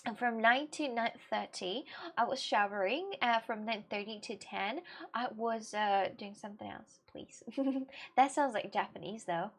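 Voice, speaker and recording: A woman's voice: fairly high pitch, a little slow. Giggly rambling woman. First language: Russian. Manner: giggly, rambling, many fillers and restarts. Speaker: streamer chatting with viewers. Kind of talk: casual live-stream chat.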